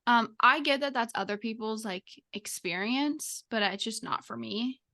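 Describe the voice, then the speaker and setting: A woman's voice: on the high side, moderate pace, creaky, pushed and bright. A woman chatting casually as a podcast co-host, informal and peppering her sentences with "like". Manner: informal, heavy use of "like". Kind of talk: casual chat.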